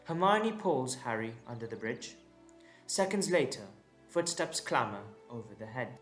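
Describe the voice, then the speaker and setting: A man's voice: medium pitch, very animated, moderate pace, fairly bright tone. A man narrating a scripted audio drama in a dramatic storytelling style. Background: instrumental music.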